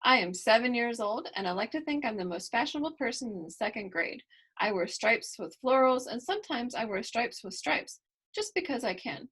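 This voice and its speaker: A woman's voice: a little high, lively intonation, a little fast, fairly bright tone, husky. A woman voicing a bubbly, fashion-proud seven-year-old farm girl in a children's storybook, with enthusiastic emphasis. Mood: proud, perky. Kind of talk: first-person children's storybook narration.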